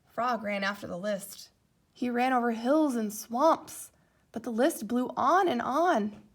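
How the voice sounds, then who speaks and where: A woman reading a children's story aloud in an expressive narrating style. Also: a little high, slow pace. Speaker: storybook reader.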